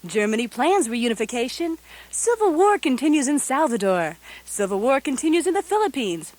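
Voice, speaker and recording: A woman's voice: high voice, very animated, normal speed, a little mellow, dry recording. A woman playing a gushing, empty-headed news anchor in a parody radio newscast. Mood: mock newsreading.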